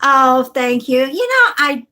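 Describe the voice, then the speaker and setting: Woman psychic hosting a live stream, high voice, slow delivery, congested from a cold. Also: very animated. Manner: slow, warm greetings, calls viewers honey and sweetheart. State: stuffy with a cold. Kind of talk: live stream greeting viewers. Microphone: device on a table.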